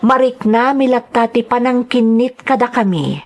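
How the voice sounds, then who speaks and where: A woman's voice: a little high, moderate pace, close to an everyday voice. An actress playing a devoted, sentimental young wife and mother in an audio drama, speaking earnestly and emotively. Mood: wistful, reflective. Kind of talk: Ilocano audio drama, narration and dialogue.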